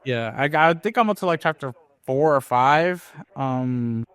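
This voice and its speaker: A man's voice: medium pitch, unhurried, fairly bright tone, clear, resonant tone, no echo. A man co-hosting a podcast, talking in an easygoing, hesitant way with many fillers and restarts. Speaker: podcast co-host. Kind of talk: casual chat.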